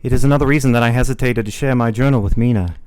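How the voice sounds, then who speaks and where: A man's voice: medium pitch, racing, a little mellow, clear, resonant tone, no room sound, lightly acted. A man plays a resolute young Victorian husband, devoted and tormented, in a radio drama. His mid-range voice is recorded very dry. He speaks with grave, formal restraint.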